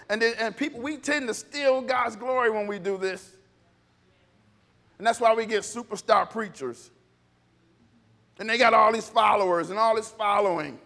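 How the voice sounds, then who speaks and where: Very high-pitched man preaching informally. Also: creaky, sharp tone. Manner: conversational and blunt, acting out short dialogues. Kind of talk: informal, impassioned sermon.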